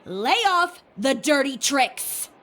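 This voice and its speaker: A woman playing a cool, self-assured, sharp-tongued woman in a video game, talking in a dry, cutting, confident way. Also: high-pitched, lively intonation, takes it slowly, a little hiss or hum, heavily acted. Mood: scornful, threatening.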